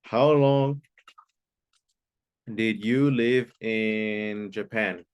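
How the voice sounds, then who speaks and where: A man's voice: fairly low pitch, very slow, clean tone. A man teaching an online English class, patiently repeating key phrases and sometimes explaining in Spanish. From Mexico.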